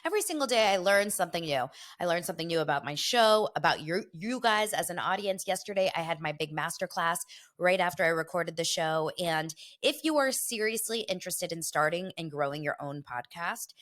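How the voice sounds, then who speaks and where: Middle-aged woman host, gossipy chat, slightly low pitch, stuffy from a cold. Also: fairly bright tone. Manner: chatty and gossipy, quick asides. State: congested from a head cold. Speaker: podcast host. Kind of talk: casual chat.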